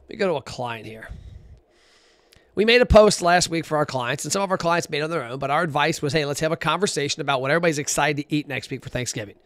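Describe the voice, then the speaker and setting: A man talks straight into a close, dry microphone with a somewhat high voice. He is blunt and animated, chatting casually and counting figures aloud as he goes. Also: fast, dry recording. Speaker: podcast host. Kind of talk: casual solo podcast talk.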